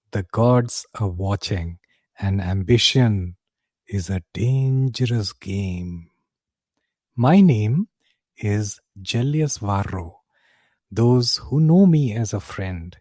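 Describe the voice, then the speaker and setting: Male storyteller for a fantasy audio drama, theatrical and measured, soft-edged tone, very dry recording. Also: lowish voice, no room sound. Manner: measured, theatrical, deliberate phrasing. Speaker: narrator, in character as a tavern keeper.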